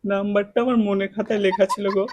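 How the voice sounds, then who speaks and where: High-voiced teasing man, noisy recording. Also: flat delivery, fast. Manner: teasing, needling, mock-lovelorn. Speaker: prank-call video host. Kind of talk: prank phone call, casual chat.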